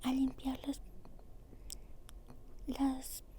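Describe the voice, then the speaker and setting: A woman doing a soft-spoken, often whispered ASMR roleplay as a dentist, with a breathy, gentle and reassuring way of talking. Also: high voice, completely flat delivery, very slow, a little hiss or hum. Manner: gentle, reassuring, using many diminutives.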